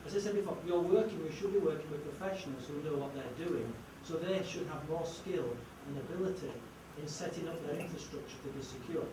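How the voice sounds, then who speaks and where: A man's voice: fairly high pitch, brisk, hardly any pauses. A man lecturing on security, emphatic, with punchy rhetorical questions. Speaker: conference speaker on security. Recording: echoey hall, distant mic, noticeable noise.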